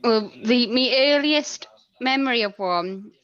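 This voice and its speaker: A woman's voice: fairly high pitch, a little slow, a bit bright, muffled recording. A woman telling personal stories casually as a podcast guest.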